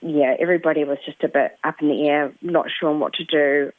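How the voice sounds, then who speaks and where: Tired twenties woman, NZ accent, hesitant, slightly low; phone-line sound. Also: fairly even intonation, a little fast, dry recording. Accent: New Zealand. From New Zealand. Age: 20s. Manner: hesitant, lots of ums. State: croaky, tired late at night. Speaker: stranded traveller. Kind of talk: casual phone interview.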